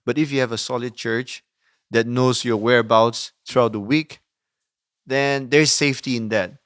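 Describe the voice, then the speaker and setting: A young adult man, a non-native English speaker, explaining things in a measured way as an interview guest on youth ministry. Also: mid-range voice, fairly even intonation, a bit bright, no echo, clean studio sound. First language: not English. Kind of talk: conversational interview about ministry.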